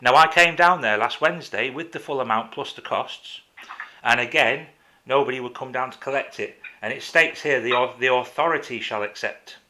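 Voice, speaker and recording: A man with a bright, forward voice is on a phone call to an office. He presses his point insistently, in halting phrases that he often repeats. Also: medium pitch. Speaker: caller to a council office. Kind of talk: phone conversation, making a complaint.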